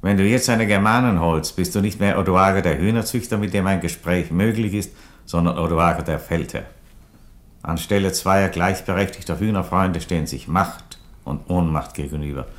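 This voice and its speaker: A male actor plays a Roman emperor in a radio drama, his voice extremely deep. He reasons calmly and philosophically, with dry irony and polite, measured phrasing. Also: lightly acted. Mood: measured reproach. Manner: measured, philosophical, drily courteous. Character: calm, ironic, reasonable Roman emperor who loves chickens. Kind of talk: theatrical radio play dialogue.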